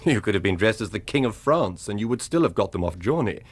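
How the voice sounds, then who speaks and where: A man's voice: fairly low pitch, animated, quick pace, breathy edge. A man with an upper-class English accent playing a suave, brazen aristocratic scoundrel in a radio drama, haughty and theatrical. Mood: urbane, haughty.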